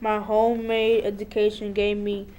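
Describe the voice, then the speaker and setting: A woman's voice: medium pitch, monotone, moderate pace, crowd noise. A young teenage girl, a middle school student, performing a dramatic reading aloud. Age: young teen, middle school student. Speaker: student reader.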